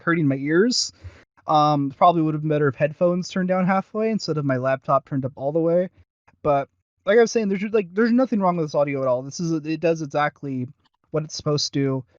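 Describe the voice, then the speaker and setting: Halting man in dull lo-fi audio. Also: fairly high pitch, no echo. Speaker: podcast co-host reviewing audio roleplays. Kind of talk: casual review chat.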